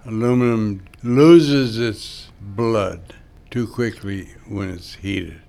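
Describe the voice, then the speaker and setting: Older man, greek native, slow musing, fairly low pitch. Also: vocal fry, warm tone. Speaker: sculptor. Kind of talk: interview reflection.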